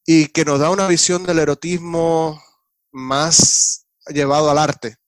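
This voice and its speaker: A man with a Cuban Spanish accent speaks as a podcast guest. His voice is smooth and resonant, and it is recorded dry and close. He talks in a relaxed, explanatory way. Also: fairly high pitch, slow, dry recording. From Cuba. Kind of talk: casual interview chat.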